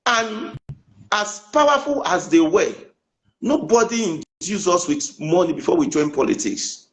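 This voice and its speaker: A Nigerian man with a Nigerian accent argues his point in a panel debate. His voice sits high and swings widely in pitch. He is insistent and keeps talking over the host. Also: animated, unhurried, poor audio. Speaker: politician guest.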